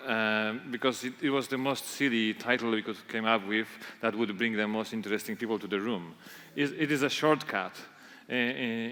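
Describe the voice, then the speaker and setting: A man with a Polish accent giving a conference talk, halting with many fillers and restarts. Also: mid-range voice, a bit bright. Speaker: conference speaker. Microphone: handheld or stage microphone. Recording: echoey conference hall.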